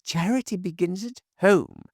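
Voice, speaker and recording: Man doing a gentle, high-voiced old woman. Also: normal speed, dry recording, studio quality. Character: gentle elderly woman, humble and nurturing.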